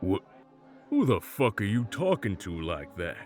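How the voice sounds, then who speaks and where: Man acting slow, low, brash loudmouth. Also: sing-song, faint music behind. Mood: groggy, confused. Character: brash, combative Southern loudmouth man named Jack. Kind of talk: audio roleplay drama acting.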